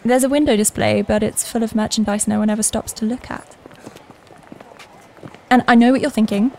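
A woman's voice: fairly high pitch, vocal fry, darkish tone, a little hiss or hum. A British actress playing an ordinary woman in London who confides her wonder in a conversational, emphatic audio drama monologue.

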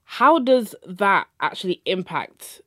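A woman's voice: medium pitch, big pitch swings, slow pace, dry recording, studio quality. A young woman hosting a podcast, introducing her guest and asking questions in a friendly, conversational way. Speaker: podcast host. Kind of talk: podcast interviewing.

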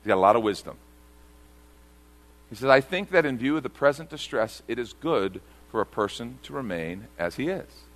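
A man's voice: medium pitch, animated, moderate pace, a bit bright, poor audio. A man pastor preaching a sermon to a congregation, earnest and repeating key phrases for emphasis.

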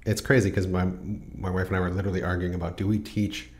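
Deep-voiced middle-aged man telling stories. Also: fast, a little hiss or hum. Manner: storytelling, animated when quoting others. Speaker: podcast host. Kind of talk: casual chat.

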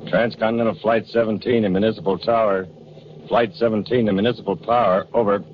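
An actor playing a calm, businesslike airline pilot on the radio, in 1940s drama style. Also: male voice, lowish voice, quick pace, close to an everyday voice. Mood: matter-of-fact. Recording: dull, noisy old radio transmission.

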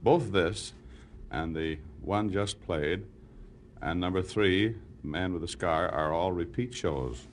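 Faint noise surrounds a man with a very deep voice. He gives a plain identifying announcement on an archival recording. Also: slow. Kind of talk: plain recorded broadcast slate.

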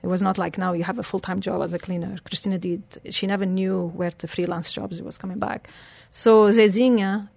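A woman's voice: medium pitch, vocal fry, dry recording. A woman, telling her life story earnestly as an interview guest. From Portugal. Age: 40s. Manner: earnest, storytelling, slightly broken English phrasing.

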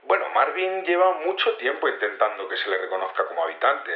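A roomy, slightly dull radio-style recording of an actor as a male security investigator in an audio drama interview. He explains his case patiently in a colloquial, halting way, repeating words and checking with tag questions. His pitch sits in an ordinary male middle. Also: big pitch swings, lightly acted. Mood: explanatory, guarded.

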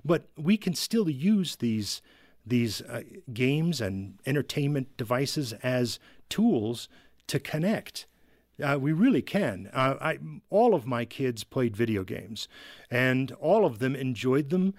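A middle-aged man talking casually as a podcast reviewer, explaining things haltingly with repeated words. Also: mid-range voice, big pitch swings, a little mellow, no echo, clean studio sound. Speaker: video game reviewer, podcast regular. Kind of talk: casual conversational discussion.

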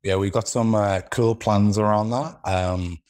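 A man's voice: fairly low pitch, fairly even intonation, measured pace, a little mellow. A man with a Liverpool accent talking casually as an interview guest, feeling his way through his thoughts in a hesitant manner. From Liverpool, England.

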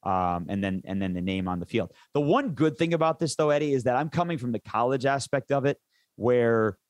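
A man's voice: mid-range voice, expressive, brisk, no room sound. A man who works as a sports play-by-play announcer, chatting casually and enthusiastically in an interview, rambling into detail.

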